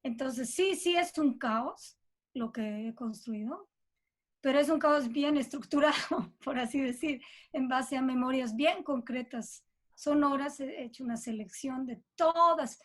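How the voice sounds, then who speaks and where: A woman's voice: high voice, unhurried. A woman with a Bolivian Spanish accent, talking conversationally as an interview guest about her own creative work, often checking in with tag questions. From Cochabamba, Bolivia. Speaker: guest composer.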